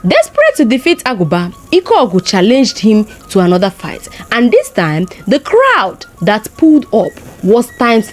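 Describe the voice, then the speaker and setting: A Nigerian-accented woman narrates a folktale as a storyteller. Her voice sits fairly high and swings very widely in pitch, with expressive delivery throughout. Music plays faintly behind her.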